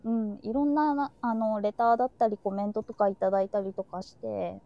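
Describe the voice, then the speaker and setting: A woman's voice: mid-range voice, steady tone, brisk, vocal fry, dark tone, highs cut off. A woman hosting a live streamed talk, chatting casually and reflectively and sharing her feelings openly.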